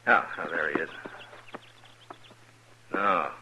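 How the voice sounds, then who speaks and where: Deep-voiced, terse frontier marshal. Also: male voice, takes it slowly, sharp tone, heavily acted. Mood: watchful. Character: stern, watchful frontier marshal of the Old West. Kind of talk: 1950s radio western acting. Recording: muffled, noisy old radio recording.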